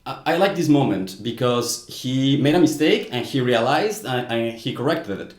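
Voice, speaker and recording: A man's voice: mid-range voice, expressive, normal speed, roomy sound. A man from Mexico whose first language is Spanish, speaking English with a Mexican accent and explaining grammar earnestly in a casual commentary, with frequent small restarts.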